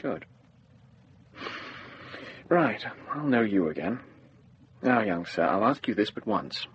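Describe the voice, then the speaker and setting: A brisk young deputy sheriff in a radio drama, shrewd and used to command. His voice is fairly low, over faint background ambience. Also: sing-song, highs cut off, a little hiss or hum. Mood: stern, measured. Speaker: actor.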